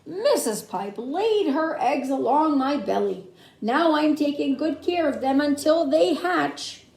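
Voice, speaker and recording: Lady doing a proud happy fish dad from a kids book, high bouncy pitch, bit of echo. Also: lively intonation, slow pace, creaky, darkish tone, heavily acted. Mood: content, proud. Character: cheerful, proud male fish fathers guarding their eggs. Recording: roomy echo, faint background noise.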